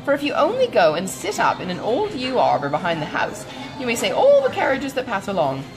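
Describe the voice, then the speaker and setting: A woman's voice: a little high, huge pitch swings, brisk, music in the background, low-quality recording. A woman voicing a chatty, good-natured older matron in a storybook, gushing and rambling with many exclamations. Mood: gleeful, rambling.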